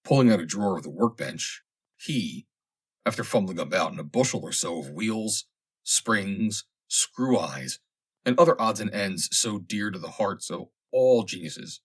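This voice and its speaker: A man with a husky voice reading a humorous vintage story as an audiobook narrator, in a theatrical, deliberate way with emphatic phrasing. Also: fairly low pitch, animated.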